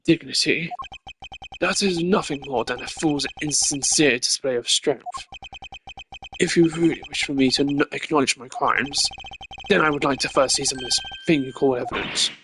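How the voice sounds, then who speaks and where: A man voicing a haughty old ambassador and crime boss who talks slowly and mockingly in a video game scene. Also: on the high side, noisy background, lightly acted. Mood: smug. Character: haughty, evasive old foreign ambassador, smuggling ringleader.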